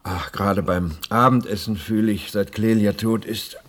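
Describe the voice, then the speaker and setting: An actor playing a grieving, eager widower in a radio play, brooding and commanding. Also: male voice, on the low side, fairly even intonation, normal speed, slight background noise, natural voice. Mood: brooding, earnest. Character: grieving, eager widower bent on summoning his dead wife.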